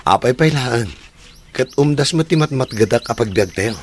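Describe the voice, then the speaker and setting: A man's voice: medium pitch, animated, normal speed, slight background noise, close to an everyday voice. A man playing a firm, eager young husband and father in a radio drama, with an Ilocano accent. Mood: firm, insistent. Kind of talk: Filipino radio drama acting. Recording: noticeable background ambience with birdsong.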